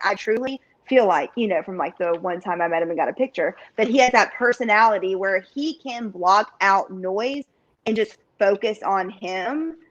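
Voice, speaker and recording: An eager, chatty woman in her late twenties co-hosts a football talk show. Her voice is at a middle pitch and comes through on dull, lo-fi audio. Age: late 20s. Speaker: sports show co-host. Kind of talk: casual sports talk.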